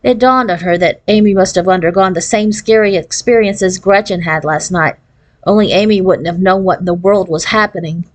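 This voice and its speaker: A woman's voice: medium pitch, moderate pace. Woman reading a children's novel aloud. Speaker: storybook reader. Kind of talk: expressive read-aloud narration of a children's novel.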